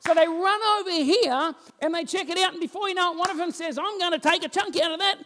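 A man, preaching a sermon on a church stage and telling stories with lively gestures while he walks about. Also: very high voice, quick pace, slight background noise. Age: 40s. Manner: animated storytelling, roams the stage gesturing. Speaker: pastor. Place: church stage with band instruments, indoors.

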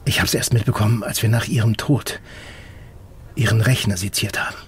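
An actor playing a guarded, stern federal intelligence officer in an audio drama, terse and controlled. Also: male voice, on the low side, fairly even intonation, brisk, crowd noise, close to an everyday voice. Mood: grave, subdued. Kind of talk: naturalistic German audio drama acting.

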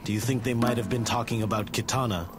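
A man's voice: mid-range voice, steady tone, quick pace, very noisy, close to an everyday voice. An actor playing an earnest young male martial arts hero in a video game cutscene, speaking directly.

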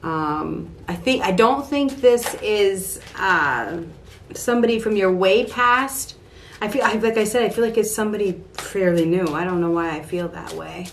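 A middle-aged woman giving an improvised tarot reading to camera in a small room at home, halting and repeating phrases as she thinks aloud. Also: medium pitch. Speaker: tarot card reader. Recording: clear steady background room noise.

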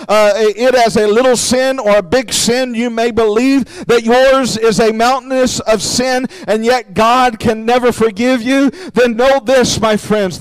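A man's voice: very high voice, clean tone, low-quality recording. A man preaching an evangelistic sermon, urging listeners directly and emphatically with repeated appeals. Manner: emphatic, exhortatory, repetitive direct address.

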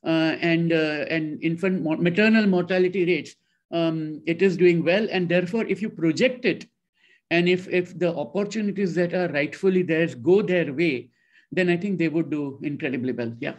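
A middle-aged man with a high voice answers as a panelist, thoughtful and halting, often circling back and restarting. He joins from a room lined with bookshelves over a webcam. The sound is dull and lo-fi. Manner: reflective, hesitant with many restarts. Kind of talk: discussion answer. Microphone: video call webcam. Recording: lo-fi video call audio.

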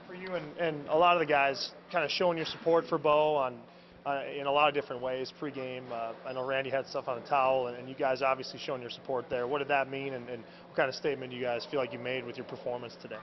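A man's voice: mid-range voice, level tone, fast. A man working as a sports reporter, asking casual questions in a postgame press scrum. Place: indoors in a postgame media scrum. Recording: dull sound, clear background noise.

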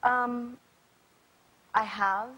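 A young woman answering interview questions, soft-spoken and hesitant, with frequent pauses and ums. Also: a little high, fairly even intonation, rough recording. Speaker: guest. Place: talk show studio.

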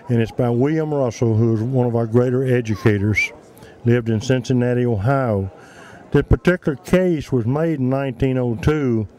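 An older man giving unhurried, folksy answers in an interview, telling stories into a handheld microphone. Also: mid-range voice, warm tone. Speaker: museum representative interviewed. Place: large indoor trade show hall.

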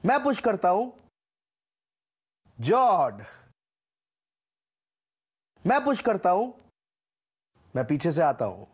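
Husky male gamer for short, punchy voice-pack catchphrases, pitched fairly high and very animated. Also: slow, long pauses. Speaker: gaming creator voicing a game voice pack. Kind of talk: short scripted gaming callouts and catchphrases.

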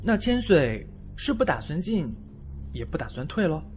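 A frank counsellor to an ancient Chinese prince, played by a man. His voice is slightly high and moves animatedly as he persuades with deferential, literary phrasing. Soft music sits under this period audio drama. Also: very animated, moderate pace. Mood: earnest counsel. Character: earnest, frank male adviser to a prince.

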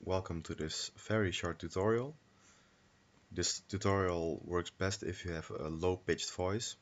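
Slow, low-voiced man giving a tutorial. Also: fairly even intonation, lo-fi sound. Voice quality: low-pitched voice. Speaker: tutorial presenter. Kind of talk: casual screen-recorded software tutorial. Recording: noisy home microphone with clicks.

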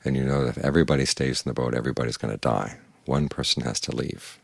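An older man, a Buddhist monk, giving a calm, measured evening meditation talk in plain words. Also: rumbling low, creaky, slightly rough, no echo.

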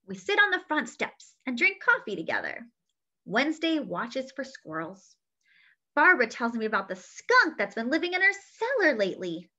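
Young female children's story reader: bright, high voice, sing-song and expressive, recorded on a muffled home webcam. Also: normal speed. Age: young adult. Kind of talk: read-aloud of a picture book with asides to young listeners. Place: small indoor room at home. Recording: dull lo-fi webcam sound.

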